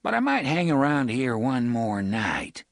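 A sly, menacing outlaw, played by a male narrator with a lazy, folksy drawl. He is crude and mocking, pitched a little above the narration. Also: very animated, measured pace, gravelly, no echo, studio quality.

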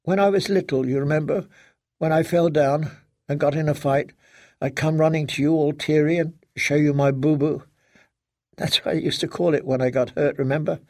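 A man voicing a frightened, fragile grown daughter in an audiobook, halting and stammering as she pleads like a child. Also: fairly high pitch, fairly even intonation, a little mellow, no room sound, studio quality, close to an everyday voice. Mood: fragile, tearful. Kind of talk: dramatic audiobook character reading.

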